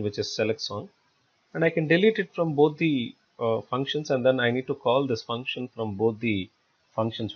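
A man giving step-by-step software tutorial narration as an instructor, in a halting, repetitive explanatory way. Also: medium pitch, breathy edge. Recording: dull lo-fi voice-over recording.

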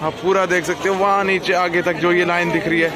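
A man's voice: high voice, little pitch movement, brisk, crowd noise. A young man from Jammu and Kashmir, narrating a casual travel vlog into a phone, pointing things out to his viewers. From Jammu and Kashmir, India. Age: young adult. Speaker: travel vlogger. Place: outdoors on busy streets, in vehicles and at monuments. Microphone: phone at arm's length. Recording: noisy city street with traffic.

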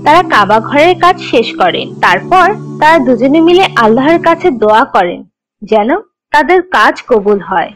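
A woman playing a kind teacher who tells religious stories to children in a measured, instructive, scripted way. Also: high voice, big pitch swings, fairly bright tone, faint music behind, poor audio. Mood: instructive, gentle. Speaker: storyteller narrator teaching children.

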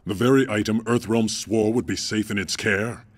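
A man's voice: lowish voice, steady tone, lightly acted. A man playing a stern, proud warrior emperor in a game, speaking formally and imperiously. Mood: stern, suspicious. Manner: formal, imperious, measured speech. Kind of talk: video game cutscene acting.

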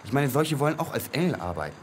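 Man as a grumbling, medium-pitched clerk. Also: big pitch swings, fast talker, slight background noise, lightly acted. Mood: weary. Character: world-weary male heavenly clerk explaining things. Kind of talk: radio play acting.

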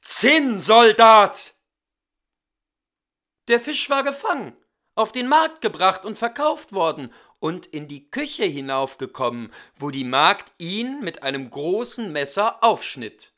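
A man reading a fairy tale aloud as a storyteller, with deliberate, theatrical and expressive delivery. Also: high voice.

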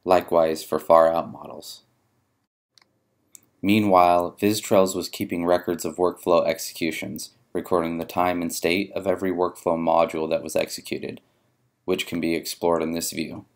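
Male narrator, low register, measured and methodical, for a scripted software demo. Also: little pitch movement. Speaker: software demonstrator. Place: voice-over for a screen recording.

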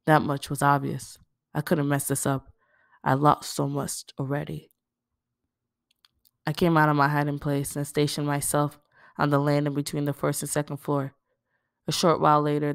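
A woman's voice: sits low, clean tone, no room sound, studio quality. A woman reading a first-person novel aloud as scripted narration. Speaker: audiobook reader.

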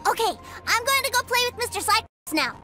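A woman voicing an innocent, excitable little boy, an adoring younger brother in a video game, with a childlike voice. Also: extremely high pitch, fairly quick. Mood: innocent, eager. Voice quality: childlike, bright-eyed. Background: game music.

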